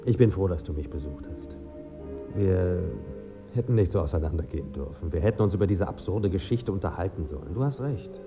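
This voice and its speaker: A man's voice: sits low, animated, fairly quick, lo-fi sound, music in the background. A man acting in a German radio play as a dead writer, a bantering, evasive, pleasure-loving friend who savours his words. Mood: subdued, evasive.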